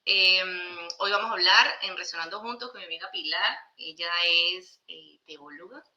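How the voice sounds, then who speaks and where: A woman with a strikingly bright, forceful voice hosts a conversation. Her talk is informal and chatty. The room around her sounds echoey. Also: on the low side, normal speed, lo-fi sound. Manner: informal, chatty, interjecting agreement. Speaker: podcast host. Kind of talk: casual conversational hosting.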